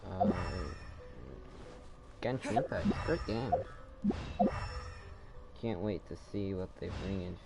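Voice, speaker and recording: A man's voice: sits low, takes it slowly, pauses often, heavy background noise. A man giving casual, offhand gameplay commentary with joking asides as the host of a gaming video. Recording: game music behind the voice.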